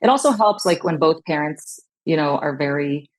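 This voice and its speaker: A woman with a notably low voice, speaking as a guest in a casual interview. She is from California, spoke Hebrew before English, and looks back on her upbringing calmly, with plenty of "like" and "you know.". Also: moderate pace, poor audio. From Long Beach, California. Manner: relaxed, reflective, many fillers.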